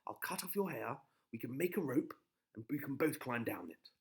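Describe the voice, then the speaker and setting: A man's voice: medium pitch, expressive, normal speed, vocal fry, husky, voice pushed up. A man voicing a boastful, cheeky young prince in a bedtime story, dramatic and exclamatory. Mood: excited.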